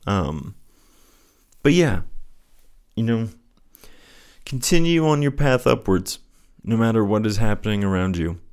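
A man with a soothing voice speaking in an earnest, gently encouraging way, as the host of a personal faith show. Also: fairly low pitch, lively intonation, measured pace, pauses often, clear, resonant tone. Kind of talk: casual reflective solo talk with scripture reading.

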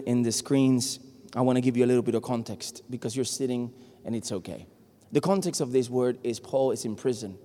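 A man from Puerto Rico, a native Spanish speaker, delivers an animated sermon and jokes with his congregation. His voice is warm and mellow, at a middling pitch. The sound carries a long reverb, as in a large church hall. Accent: Puerto Rican Spanish. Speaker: preacher. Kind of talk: lively sermon.

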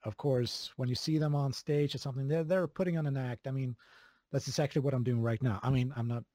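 Swedish-accented man, casual interview guest, mid-pitched voice, relaxed, chuckling, dry close mic. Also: quick pace, dry recording. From Sweden. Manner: relaxed, chuckling, many fillers and restarts. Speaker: video game composer, guest.

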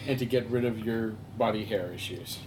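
A man's voice: on the low side, fairly quick, darkish tone, a little hiss or hum, rough recording. A man hosting an improv podcast, interviewing characters in a playful, laughing manner. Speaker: host.

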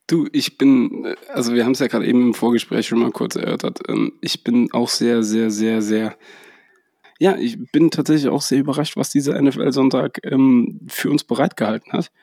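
Man with a cold, podcast host, gravelly, mellow tone, hesitant with fillers. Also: medium pitch, fairly even intonation, fairly quick, no echo, clean studio sound. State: has a cold. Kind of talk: casual podcast chat. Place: holiday house.

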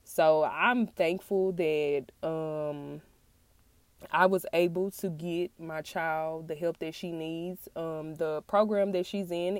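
A woman with a raspy, congested-sounding voice, getting over an illness, talks earnestly and haltingly in a personal monologue to her audience. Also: low voice, darkish tone, no room sound. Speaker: podcast host and author.

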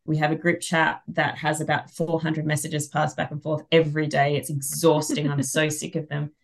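A woman's voice: low-pitched, normal speed, vocal fry. An Australian woman chatting casually as a podcast guest, telling wry, exaggerated anecdotes and acting out the dialogue. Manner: animated anecdote teller who acts out dialogue, wry exaggeration. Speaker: podcast guest, fantasy author. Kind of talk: casual chat, humorous storytelling.

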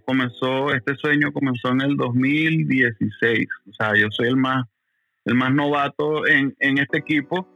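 A man talks about his work in an earnest, halting way. His voice is very bright and sits at a middle pitch. Faint music plays in the background. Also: lo-fi sound. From Venezuela. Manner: earnest, repeats words while finding them. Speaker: guest photographer. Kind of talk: casual conversation.

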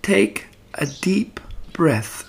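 Man as a female storybook spider, high-pitched, slightly husky, patient, clever, calm, reassuring. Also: very slow, exaggerated voice. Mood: encouraging, instructive. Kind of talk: children's storybook character voice.

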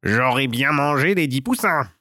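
A man's voice: medium pitch, lively intonation, normal speed, sharp tone, dry recording, clean studio sound, pitched above the performer's own voice. A man voicing a sly, dishonest jackal with oily, mock-courteous manners in a children's tale. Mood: scheming. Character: sly, dishonest male jackal, falsely polite.

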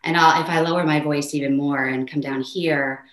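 A woman's voice: moderate pace, echoey room, poor audio. A woman with a mezzo voice, a voice teacher explaining technique animatedly in an interview. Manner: animated, demonstrative, shifts pitch to show points. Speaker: voice teacher guest.

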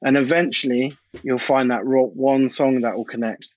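A man's voice: medium pitch, fairly even intonation, normal speed, no room sound. A British man talking casually and anecdotally as a DJ and producer being interviewed, in informal, colloquial speech. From United Kingdom. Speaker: interview guest, DJ and music producer.

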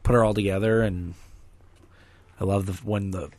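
A man hosting a casual gaming podcast, hoarse and raspy from a recently lost voice, rambling with many restarts. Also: lowish voice, steady tone, moderate pace, a little mellow, no room sound. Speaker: podcast host.

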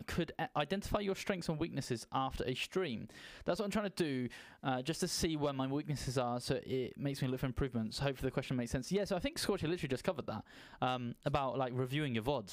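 A man's voice: fairly high pitch, no room sound. Man co-hosting a dry, close-miked chat. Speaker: podcast co-host. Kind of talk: casual chat about streaming.

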